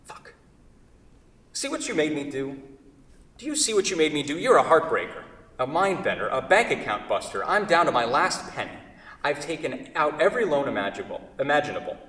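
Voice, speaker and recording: A man's voice: fairly high pitch, sing-song, a little fast, a bit bright. A man in a staged play reading, acting a wordy, fretful furniture craftsman in love with his own creation, often correcting himself. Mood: anguished, pleading. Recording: echoey hall, far from the mic.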